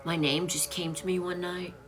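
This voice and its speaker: A woman's voice: on the low side, level tone, moderate pace. A woman in her late fifties chatting casually on a home live stream, greeting viewers by name while she lists items to sell. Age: 50s. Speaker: online seller live-streaming.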